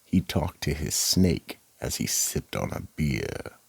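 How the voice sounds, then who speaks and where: Male actor as sinister mystery theatre host, bottomless pitch, husky, dry close sound, animated, theatrical narration. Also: no room sound, exaggerated voice. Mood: ominous, measured. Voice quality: gravelly, husky. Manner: slow, ominous, theatrical. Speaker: narrator and horror anthology host.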